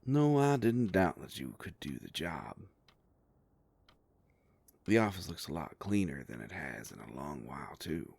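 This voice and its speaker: A man playing a sardonic, grumbling werewolf private investigator with a soft heart, in an audio roleplay drama. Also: no room sound, heavily acted. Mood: friendly, easygoing. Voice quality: low gravelly voice.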